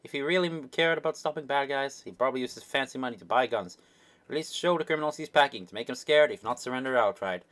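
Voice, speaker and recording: A man's voice: medium pitch, quick pace, a bit bright, pitched above the performer's own voice, natural voice. A man voicing an opinionated young sidekick fan in a web comic, rambling through a mock-indignant rant with quaint slang. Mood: scornful.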